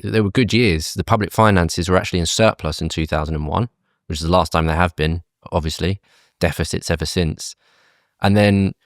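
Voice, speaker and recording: A man from the UK, a campaign group chief, explaining tax and spending in a measured interview manner with fillers and restarts. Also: low-pitched, no room sound, clean studio sound. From United Kingdom. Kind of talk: conversational policy interview.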